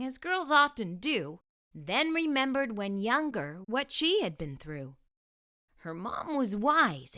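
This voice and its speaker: A woman narrates a storybook for children as a read-aloud host. Her voice is high and very animated. She speaks slowly and carefully, with expressive delivery.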